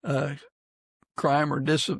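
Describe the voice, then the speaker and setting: Male podcast host, higher-set voice with heavy creak, halting and agreeable, casual conversational delivery. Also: level tone, fairly bright tone, no room sound, studio quality. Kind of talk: casual interview chat.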